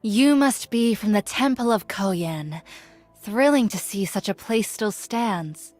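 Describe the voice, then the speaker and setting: An actress playing a solemn, wise warrior woman in a video game, speaking in grave, formal, measured declarations. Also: medium pitch, lively intonation, darkish tone, faint music behind. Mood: wistful, measured. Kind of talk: video game character voice lines.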